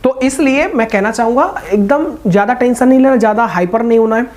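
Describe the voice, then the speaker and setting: A man's voice: very high-pitched, fast talker, a little mellow, slight background noise. A young man speaking informally and reassuringly, as the host of a video giving motivational advice to exam candidates. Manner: informal, reassuring, addressing listeners as brothers.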